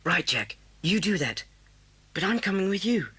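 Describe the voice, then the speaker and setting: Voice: mid-range voice, sing-song, normal speed, sharp tone, breathy edge, exaggerated voice. A performer playing a blunt, brave schoolboy with an English accent in a children's audio drama. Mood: hushed, determined. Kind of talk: children's radio drama acting. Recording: hissy old cassette tape.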